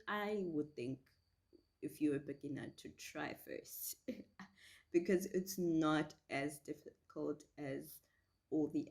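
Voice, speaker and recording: A woman with a slightly hoarse voice from a cold, chatting casually and reassuringly through a step-by-step tutorial. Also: sits low, a little mellow. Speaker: hairstyle tutorial host.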